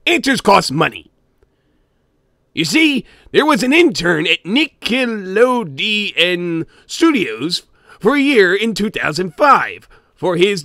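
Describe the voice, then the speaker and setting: A man voicing a gruff, greedy old cartoon sea-crab boss, gravelly and theatrical, narrating a comic scary story in character. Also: high-pitched, sing-song, a little slow, pushed and bright, no echo, clean studio sound, full character voice. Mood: wry, grumbling. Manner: theatrical, with grumbling asides and barking chuckles.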